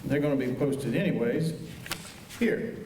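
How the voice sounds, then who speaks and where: A man's voice: mid-range voice, darkish tone, close to an everyday voice. Man playing a bashful folksy cowboy, roomy and distant. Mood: hesitant, obliging. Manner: hesitant, bashful, folksy drawn-out politeness. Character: bashful, polite, folksy Old West marshal's helper. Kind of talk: western radio drama acting. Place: high school auditorium stage. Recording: echoey auditorium, distant mic, faint noise.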